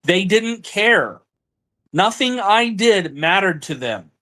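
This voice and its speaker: A man's voice: high-pitched, big pitch swings, slow pace, sharp tone. A man in a heated personal argument over the phone, frustrated and accusatory, repeating himself. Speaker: partner on a phone call.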